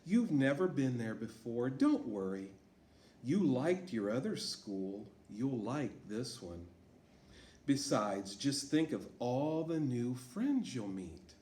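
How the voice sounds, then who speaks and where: Guy doing a calm gentle dad, soft and coaxing, slow, echoey room. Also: medium pitch. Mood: reassuring. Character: patient, gently coaxing father.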